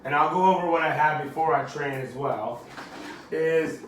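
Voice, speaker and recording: A man's voice: a little high, moderate pace, a bit bright, a little hiss or hum, rough recording. A man in his late thirties, a fitness coach, chatting casually in a home cooking vlog, rambling and correcting himself with easy laughs. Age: 30s.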